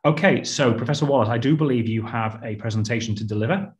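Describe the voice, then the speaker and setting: A man's voice: mid-range voice, fast talker, darkish tone. Man in his 30s, webinar host, chatty, many fillers, video call audio. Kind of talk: hosting a webinar. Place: indoors, office, on a video call.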